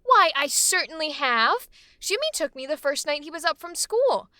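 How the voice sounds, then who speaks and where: A woman's voice: high-pitched, sing-song, normal speed, sharp tone, no room sound, studio quality, exaggerated voice. An actress playing a gushing, coquettish young society woman in an old-time radio drama.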